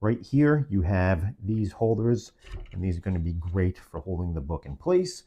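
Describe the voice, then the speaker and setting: A man's voice: deep voice, big pitch swings, normal speed, dull audio. A man giving a semi-scripted product review, explaining features step by step in short phrases.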